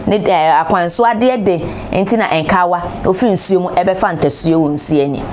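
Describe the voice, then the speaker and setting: A woman in her thirties with a Ghanaian accent, presenting entertainment gossip from a studio chair in an animated, exclamatory way, firing rhetorical questions. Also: lowish voice, normal speed, a little hiss or hum. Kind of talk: gossip commentary, mixing Twi and English. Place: studio, seated on a large throne-like chair. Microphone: lapel.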